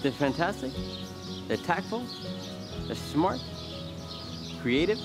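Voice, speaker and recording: Halting man being interviewed, music behind him. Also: on the high side, sing-song. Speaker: actor in a behind-the-scenes interview. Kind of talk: casual on-camera interview.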